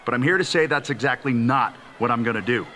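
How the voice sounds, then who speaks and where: Forceful veteran hero wrestler played by a man. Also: medium pitch, fast, a little hiss or hum, lightly acted. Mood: earnest. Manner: earnest, forceful. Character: proud, determined veteran hero wrestler, new champion. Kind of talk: wrestling promo acting.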